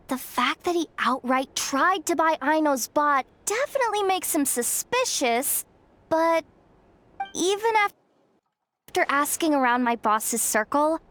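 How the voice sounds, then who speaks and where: Young streetwise gal investigator in a game, high pitched, frank and kinda fed up, wry. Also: female voice, fairly bright tone, a little hiss or hum, heavily acted. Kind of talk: video game dialogue acting.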